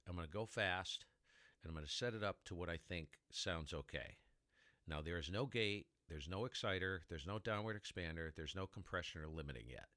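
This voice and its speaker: Low-voiced baritone man explaining gear. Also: fairly quick, bright, forceful tone, no echo, clean studio sound. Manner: rambling, self-interrupting explainer with mic tests. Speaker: ham radio tutorial host. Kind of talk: casual how-to demonstration. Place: heavily dampened home studio room.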